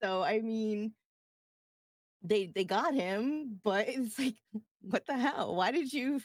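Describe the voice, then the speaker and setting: A woman from the US East Coast co-hosting a podcast, chatting in a giggly, animated way and swearing casually. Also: fairly high pitch, slow pace, dry recording, clean studio sound. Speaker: podcast co-host. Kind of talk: casual chat.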